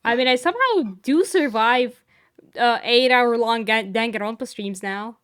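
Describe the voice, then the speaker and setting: A woman chatting casually who laughs often and speaks in an unhurried way. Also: a little high, lively intonation, fairly bright tone, clear, resonant tone, dry recording, clean studio sound. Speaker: streamer. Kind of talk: casual chat.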